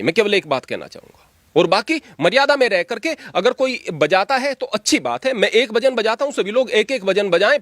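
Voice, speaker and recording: High-voiced preachy holy man. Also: fairly bright tone, dry recording, studio quality. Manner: preachy, emphatic, plain-spoken. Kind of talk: earnest spoken argument.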